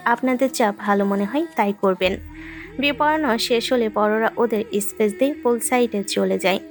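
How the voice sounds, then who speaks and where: A woman reading a scripted romantic story as its narrator. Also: a little high. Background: background music.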